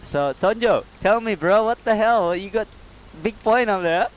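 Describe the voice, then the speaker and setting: Slow-talking surf commentator. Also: male voice, sits high. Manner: relaxed, halting English with fillers and laughs. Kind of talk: casual live commentary. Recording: outdoor ambient noise, passing vehicles.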